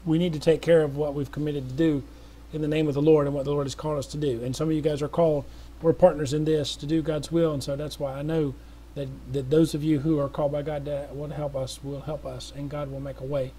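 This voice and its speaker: A man's voice: a little high, level tone, quick pace, mellow. A middle-aged man speaking casually to viewers in an earnest, rambling way, with many restarts and fillers. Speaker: missionary making an appeal video. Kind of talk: casual spoken appeal to viewers.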